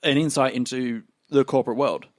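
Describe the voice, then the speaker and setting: A man casually narrating his character's actions in a tabletop roleplaying game, halting and hesitant as he feels his way. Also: medium pitch, steady tone, dry recording, clean studio sound. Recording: music bed under the voice.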